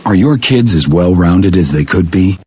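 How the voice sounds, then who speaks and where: A man with a very husky voice reading a scripted public service announcement. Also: deep voice, animated, normal speed, darkish tone, a little hiss or hum.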